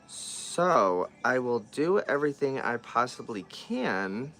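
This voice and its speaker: A man's voice: mid-range voice, big pitch swings, takes it slowly, a bit bright, soft background music, low-quality recording. A man chatting casually as he works on a repair, thinking aloud and often trailing off and restarting his sentences. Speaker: vlogger repairing dolls. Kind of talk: casual chat while working.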